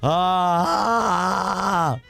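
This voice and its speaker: A man chatting casually on a livestream, hoarse today, loud in his greetings and swearing freely. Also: high-pitched, extremely slow, soft background music. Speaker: streamer.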